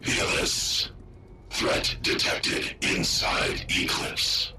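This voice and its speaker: An actor voicing an ancient, malevolent war-machine intelligence in a video game, with a booming synthetic voice and terse, commanding pronouncements. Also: male voice, drawn-out words, pushed and bright, faint music behind, exaggerated voice. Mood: cold, menacing. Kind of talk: video game villain acting.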